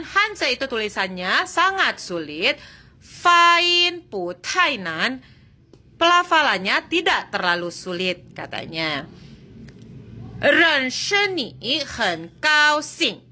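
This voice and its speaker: A woman teaching a Mandarin lesson, reading example phrases slowly and explaining each one, now and then correcting herself and checking that it is clear. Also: high voice, big pitch swings, pushed and bright. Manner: self-correcting, checks understanding with "jelas?". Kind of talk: slow language lesson, reading and translating example sentences.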